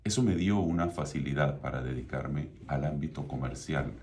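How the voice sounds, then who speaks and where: A middle-aged man from Venezuela with a Venezuelan Spanish accent, hosting his own radio talk show in a measured, promotional way. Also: rumbling low, darkish tone, slight background noise. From Venezuela (Mérida). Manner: measured, promotional, repeats contact details.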